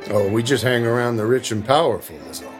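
A man's voice: mid-range voice, fast, natural voice. A man acting a casual, wry presidential bodyguard in a period drama, dry and offhand, over music.

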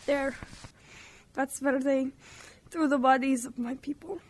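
Actress as a subdued, even-toned young camp survivor. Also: female voice, sits high, measured pace, slight background noise. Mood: subdued, sorrowful. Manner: subdued, plain, short sentences. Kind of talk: radio drama acting.

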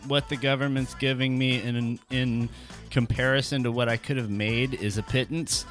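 A man's voice: medium pitch, fairly even intonation, normal speed, a bit bright, soft background music. A man co-hosting a comedy podcast, talking in casual, joking banter.

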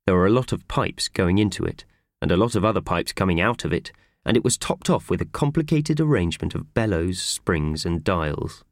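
A man narrating a children's audiobook in an expressive, scripted storytelling style. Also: sits low, brisk, no echo, studio quality. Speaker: audiobook reader.

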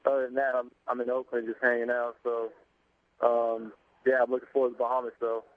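A young man calling in to a radio interview by phone, relaxed and laid-back with many fillers. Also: medium pitch, fairly quick, dry recording. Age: young adult. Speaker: basketball player calling in. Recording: tinny phone line.

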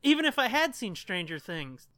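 A man's voice: sits high, very animated, normal speed, sharp tone, smooth, no room sound, clean studio sound. A man hosting a casual podcast, chatting in slangy language with plenty of swearing. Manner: profane, slangy. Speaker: podcast host.

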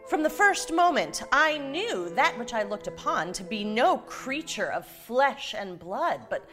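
An actress playing a genteel, wry married woman who narrates a light ghost story in a formal, expressive way. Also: on the high side, unhurried, music in the background. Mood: hushed drama.

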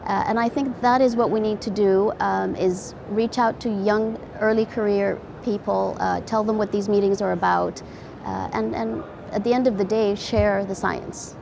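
A woman's voice: medium pitch, steady tone, normal speed. A woman cardiologist as an interview guest, explaining things earnestly in a conversational way. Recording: clearly noisy conference background.

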